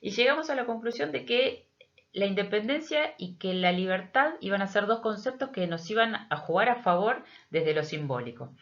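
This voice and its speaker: Low-voiced Argentine woman, dull recording. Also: expressive, normal speed. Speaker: teacher presenting an educational project. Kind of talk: prepared presentation, explanatory. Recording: dull, lo-fi audio.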